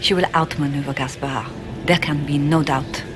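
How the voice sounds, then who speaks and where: A woman's voice: sits low, steady tone, moderate pace, over music, lightly acted. An actress playing a composed, assured noblewoman envoy with formal, confident delivery in a fantasy video game.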